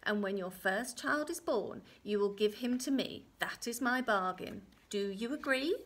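A woman voicing a strange, wrinkled little magical man in a storybook tale, croaky, emphatic and demanding. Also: medium pitch, animated, measured pace, fairly bright tone. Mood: menacing, demanding.

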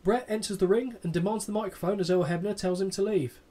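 A man in his thirties co-hosts a casual podcast, reading out a match recap and breaking off for sarcastic asides. His voice sits a little high for a man. He swears freely and keeps a deadpan tone. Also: quick pace. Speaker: podcast co-host.

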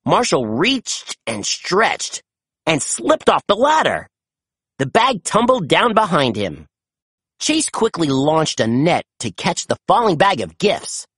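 A storybook narrator reading aloud to children in an expressive, animated way with dramatic emphasis. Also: mid-range voice, slow pace, husky, no room sound, clean studio sound.

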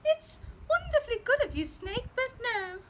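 A woman voicing a small, clever, cheeky mouse in a storybook reading, high and perky. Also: sing-song, moderate pace. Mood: perky, boastful. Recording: loud background noise.

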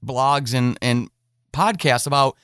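A man's voice: mid-range voice, slow, a bit bright, clear, resonant tone, no room sound, clean studio sound. A man hosting a conversational podcast interview in an enthusiastic, effusive manner.

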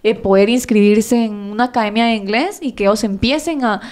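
A woman, a nutritionist talking casually on a podcast, animated and laughing often. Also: fairly high pitch, brisk. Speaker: guest nutritionist.

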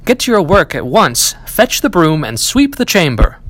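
A man reads as a bitter discharged soldier from a fairy tale. He is commanding and bossy, with a fairly high, animated voice. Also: normal speed.

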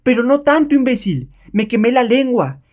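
A man voicing an imperious, hot-tempered noblewoman with a shrill, commanding manner in a comic-book reading. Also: big pitch swings, normal speed, darkish tone. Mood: furious. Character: imperious, hot-tempered Japanese widow, haughty slave mistress.

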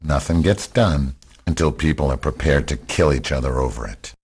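A hard rebel leader, played by a man in a radio drama. The voice is extremely deep and husky, with solemn, grim declarations. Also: normal speed, a little mellow, heavily acted.

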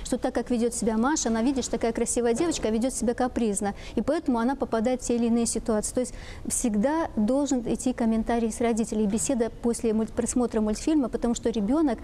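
A woman's voice: a little high, steady tone, quick pace, warm tone, a little hiss or hum. A woman giving explanations as an expert guest in a panel discussion.

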